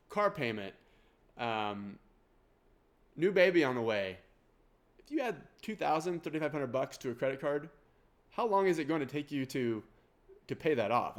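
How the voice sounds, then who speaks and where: A man in his forties with a Kansas accent and a nasal voice, talking casually about exam prep in a rambling, self-deprecating way. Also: medium pitch. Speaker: CPA exam prep course owner. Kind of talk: casual talk to camera.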